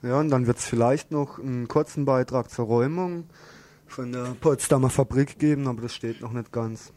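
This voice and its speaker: A man's voice: mid-range voice, normal speed, darkish tone. Informal male radio co-host, slightly noisy sound. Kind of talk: informal live radio announcement. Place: radio studio.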